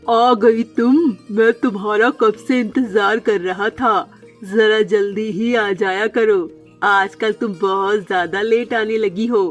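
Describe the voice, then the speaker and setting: A woman's voice: medium pitch, steady tone, takes it slowly, faint music behind, close to an everyday voice. Woman voicing an earnest lovestruck male parrot. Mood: mildly impatient. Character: lovestruck bachelor male parrot, gentle employer. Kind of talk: Hindi children's cartoon story dialogue.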